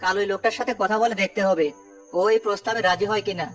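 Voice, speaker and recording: A woman's voice: medium pitch, steady tone, quick pace, soft background music, lightly acted. A woman playing a greedy, irritable village man in a children's cartoon. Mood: won over.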